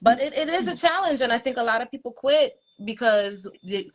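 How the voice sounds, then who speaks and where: A young American woman, a soca artist guest, chatting casually with many ums and quick laughs. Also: fairly high pitch, animated, crowd noise. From United States. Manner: chatty, laughs easily, thinks aloud with ums. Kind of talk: casual interview chat.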